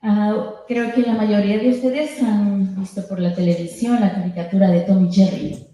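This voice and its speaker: A woman with a Spanish accent makes a public comment as a union member. Her voice is mellow and mid-pitched, and she speaks in short passages. The meeting room rings with heavy echo. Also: steady tone, a little fast. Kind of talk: public comment speech with pauses for an interpreter. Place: indoor public meeting hall.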